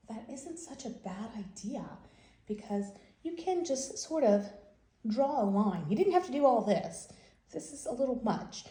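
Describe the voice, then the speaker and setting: Expressive woman storyteller in an echoey room. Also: medium pitch. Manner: simple explaining, repeats and rephrases for children. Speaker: children's storybook read-aloud host. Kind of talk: read-aloud storytelling with explanatory asides to children.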